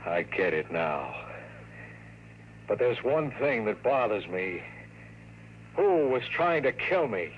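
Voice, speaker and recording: Wisecracking, exasperated American gumshoe, 1950s radio drama style, dull noisy old recording. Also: male voice, fairly low pitch, sing-song, slow, long pauses. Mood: grave, suspicious. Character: tough, wisecracking hard-boiled investigator, wary and exasperated.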